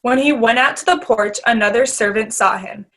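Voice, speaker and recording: A fairly high female voice narrates scripture for a readers theater. She reads formally, at a measured, scripted gait. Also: a bit bright.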